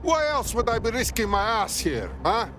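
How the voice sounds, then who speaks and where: An actor playing a wary, earnest underground resistance operative in a video game, speaking urgently. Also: male voice, high voice, big pitch swings, normal speed, a bit bright, faint music behind. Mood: urgent, pleading.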